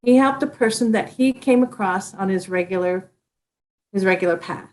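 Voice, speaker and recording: A woman's voice: mid-range voice, moderate pace, darkish tone, low-quality recording. A middle-aged woman, an author being interviewed, talking earnestly in a conversational way with frequent restarts.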